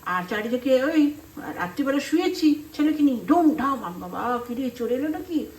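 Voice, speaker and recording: High-pitched Indian Bengali woman, chatty home vlogger. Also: animated, normal speed, a little mellow. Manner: chatty, rambling, acts out remembered dialogue. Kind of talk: casual storytelling while cooking. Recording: roomy echo, some background noise.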